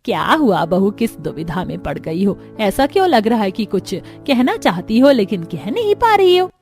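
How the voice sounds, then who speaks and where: A woman's voice: medium pitch, big pitch swings, moderate pace, gravelly, a little mellow, soft background music. A woman voicing a middle-aged, concerned and bossy Indian mother-in-law in a cartoon family story, with exaggerated expression.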